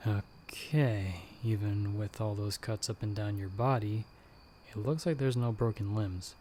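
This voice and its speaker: A man playing a stern, sardonic monster hunter who guides the listener in hushed, urgent tones in an ASMR audio roleplay. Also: on the low side, fairly even intonation, unhurried, a little hiss or hum, natural voice. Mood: tense, instructing. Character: gruff, sardonic male monster hunter, a disembodied guiding voice.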